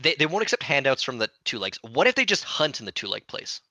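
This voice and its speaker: A man's voice: medium pitch, big pitch swings, fast talker, bright, forceful tone, no echo. A man in a casual book club discussion, questioning and arguing his points as a panelist.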